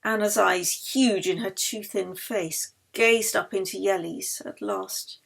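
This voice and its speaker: A woman narrating a novel as its author, in an expressive, dramatic storytelling style. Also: medium pitch, slow pace, gravelly, slightly rough. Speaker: author reading her own novel.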